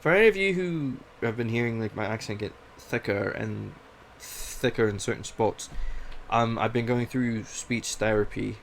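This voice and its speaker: A young man from Canada talks in a candid, rambling way, his accent drifting between British and Canadian. His voice carries a gravelly, fry-edged texture, and a faint ambient hiss sits behind him. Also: on the low side, normal speed, hardly any pauses. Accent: British mixed with Canadian. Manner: candid, rambling, self-deprecating. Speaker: podcast host. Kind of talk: casual personal monologue.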